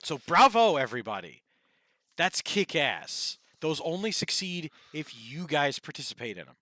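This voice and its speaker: A man's voice: fairly high pitch, very animated, bright, forceful tone, no room sound, clean studio sound. A man co-hosting a gaming podcast, chatting casually in a rambling, playful way. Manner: rambling, playful, quick to laugh. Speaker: podcast co-host.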